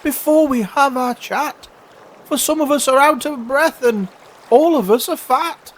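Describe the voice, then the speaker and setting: A man's voice: unhurried, a bit bright. A man voicing small, timid young oysters in a storybook poem, plaintive and pleading. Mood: breathless protest. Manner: high, pleading delivery.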